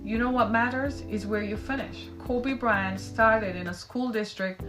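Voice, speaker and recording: A woman's voice: on the high side, fairly even intonation, a little slow, low-quality recording. Emphatic Caribbean woman over guitar music. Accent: British Caribbean. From British Caribbean. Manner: emphatic public-speaker delivery with repeated "right?" tags. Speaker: podcast host. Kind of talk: live-stream commentary reading viewer comments.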